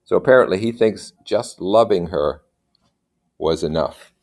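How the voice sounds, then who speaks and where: A man's voice: low-pitched, unhurried, darkish tone. A man reading a story aloud and breaking off for informal, questioning asides as commentator. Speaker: reader and commentator.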